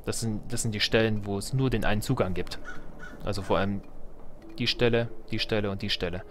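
Low-voiced man muttering through gameplay. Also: steady tone, fairly quick, fairly bright tone, slight background noise. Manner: thinking aloud, muttering to himself. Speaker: let's play host. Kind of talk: casual gameplay commentary. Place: indoors, voice-over on game capture.

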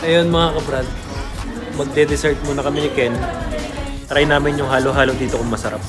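A man's voice: medium pitch, fairly even intonation, quick pace. Filipino man, playful vlogger, phone camera at arm's length, music underneath. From Philippines. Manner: playful, laughing often. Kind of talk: casual vlog chat.

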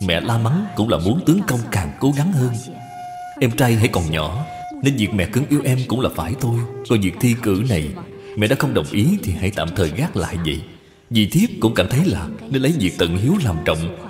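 A man's voice: on the low side, big pitch swings, fairly quick. A man voicing a patient, dutiful young wife who counsels her husband politely. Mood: patient, soothing. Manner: soothing, polite persuasion. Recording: long reverb, music behind.

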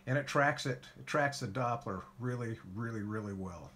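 A man calmly walking through his ham radio station setup in a casual how-to, with lots of filler words. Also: mid-range voice, normal speed. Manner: relaxed, folksy, frequent "uh" fillers. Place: home radio shack by a window.

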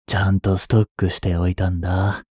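A man in his twenties playing an obsessive, jealous young stalker in an intimate voice drama, coaxing softly with long pauses. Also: low voice, level tone, husky, dry recording, studio quality. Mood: pleading, eerily gentle. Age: 20s. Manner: soft, coaxing, brooding delivery with drawn-out pauses. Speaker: voice actor. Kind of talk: intimate Japanese voice drama, addressed to the listener.

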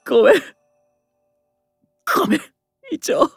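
An actor playing a gentle, guilt-ridden young man in a Japanese voice drama, crying as he speaks in a breathy, broken voice. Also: a little slow, soft background music, lightly acted. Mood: tearful remorse.

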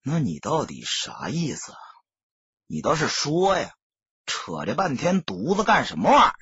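Man doing a gruff, husky, defiant street tough. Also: medium pitch, wildly swooping pitch, moderate pace, fairly bright tone, no room sound, highs cut off, heavily acted. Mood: defiant, irritated. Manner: blunt, grumbling. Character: defiant, hot-tempered male small-business street tough.

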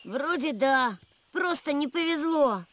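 Plucky young boy for animated adventure: very high, swooping, exclamatory. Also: slow, exaggerated voice. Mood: sheepish. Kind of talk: animated adventure series dubbing.

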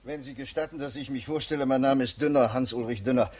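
An actor playing a polite, officious defence lawyer in a 1950s German radio play, formal, hesitant and placating. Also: male voice, mid-range voice, steady tone, quick pace, darkish tone, natural voice. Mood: polite, reassuring.

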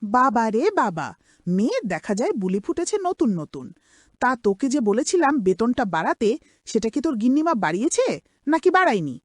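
A woman's voice: a little high, very animated, fairly quick, dry recording, clean studio sound. A woman playing a cruel aunt in a cartoon drama, scolding and sarcastic in a harsh, nagging manner. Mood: demanding. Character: cruel, scolding aunt bullying her orphaned niece.

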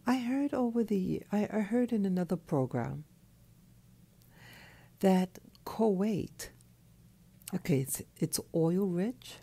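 A woman who has just woken up, talking in a soft, hoarse, half-whispered voice from her bed, slow and halting, with a clip-on mic. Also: medium pitch. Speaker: channel host. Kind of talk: early morning monologue. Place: in bed at night.

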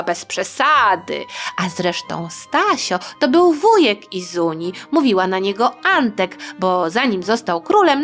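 A woman's voice: mid-range voice, fairly bright tone. A woman telling history to young listeners in a chatty, playful storytelling style, speaking directly to her audience.

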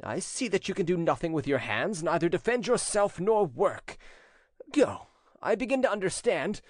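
A man's voice: sits high, very animated, moderate pace, a little mellow, dry recording, clean studio sound, pitched above the performer's own voice. A man voicing a proud young fisherman in a storybook, a protective brother who speaks in a haughty, scornful, declamatory way. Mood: contemptuous.

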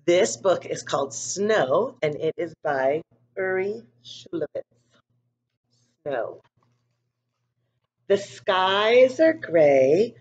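Woman reading to kids, dull lo-fi recording. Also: fairly low pitch. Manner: expressive, deliberate reading to young children. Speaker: school read-aloud host. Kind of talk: children's picture book read-aloud with chatty asides.

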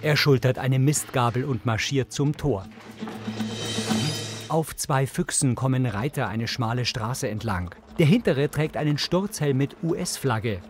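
A male narrator describes screen action in a measured, matter-of-fact way. His pitch is middling for a man. Music plays behind him. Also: animated. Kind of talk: scripted narration of TV scenes, present tense.

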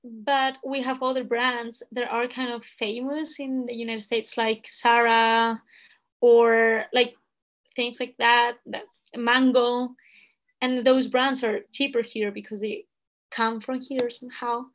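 High, slow-talking Spanish-accented woman. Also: level tone, fairly bright tone. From Spain. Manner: hesitant, many fillers, laughs easily. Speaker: guest language assistant. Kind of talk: casual video call chat. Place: indoor room at home. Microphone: laptop webcam video call.

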